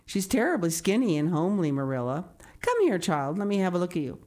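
A woman's voice: on the low side, big pitch swings, fairly quick, a little mellow. A woman voicing a blunt, indignant, gossipy older village matron in an emphatic, scolding way for a storybook reading. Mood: blunt, critical.

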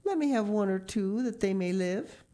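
A woman's voice: mid-range voice, expressive, moderate pace, dark tone, voice pushed up, close to an everyday voice. A woman voicing a large tiger who firmly asks for food for her starving kittens, in a read-aloud story. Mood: demanding.

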